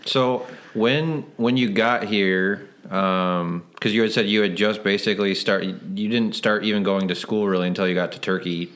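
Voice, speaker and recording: A man hosting a podcast, asking relaxed, probing questions in casual conversation. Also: lowish voice, moderate pace, hardly any pauses.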